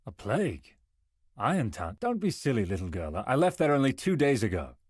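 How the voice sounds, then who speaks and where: A man playing a weary, practical travelling merchant in a visual novel, with a husky voice and a wry, muttering manner. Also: medium pitch, wildly swooping pitch, a little fast, darkish tone, no echo, studio quality. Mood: dismissive. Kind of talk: visual novel character acting.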